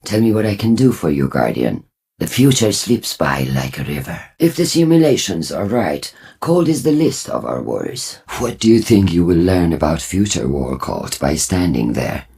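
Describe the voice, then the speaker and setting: A man playing a solemn, shrewd war-cult trader and prophet in a video game, speaking in a low, husky, measured and cryptic way. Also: big pitch swings, a little mellow, exaggerated voice. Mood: confident, cryptic. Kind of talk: scripted video game dialogue.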